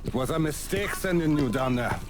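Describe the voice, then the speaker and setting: An actor playing a stern, protective older Southern boss with a folksy, measured way of talking in a video game drama. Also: male voice, a little high, lively intonation, natural voice. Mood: weary, worried.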